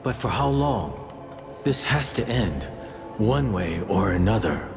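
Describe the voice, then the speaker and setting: A man's voice: lowish voice, expressive, slow pace, vocal fry, over music, exaggerated voice. A man playing a grave, bitter spirit companion in a fantasy video game, solemn and scornful. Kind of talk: video game cutscene acting.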